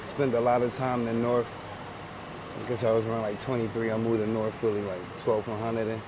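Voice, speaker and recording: A man's voice: medium pitch, flat delivery, fairly quick, noisy background. A man in his forties with a Philadelphia accent, talking casually in street slang, often repeating himself and restarting his sentences. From Philadelphia, USA. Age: 40s. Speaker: interviewee. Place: outdoors on a busy city street. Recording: outdoor street recording with passersby.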